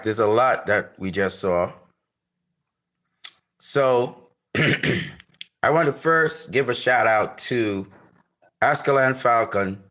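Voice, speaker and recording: Low-voiced Trinidadian man rambling through a trailer. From Trinidad and Tobago. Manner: rambling, many fillers and restarts, gives shout-outs. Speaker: channel host reviewing a trailer. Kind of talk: casual reaction commentary.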